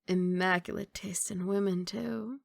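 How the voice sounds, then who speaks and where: A woman's voice: mid-range voice, steady tone, a little slow, creaky, no room sound. A woman playing a confident, doting young mafia boss girlfriend in an intimate, teasing ASMR romantic roleplay. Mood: generous, confident. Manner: playful teasing, intimate one-sided conversation.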